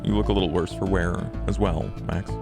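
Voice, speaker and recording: A man playing a quiet, scheming vampire with authority in a tabletop roleplay, speaking in a measured, formal, deadpan way. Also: low voice, creaky, over music. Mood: measured, probing.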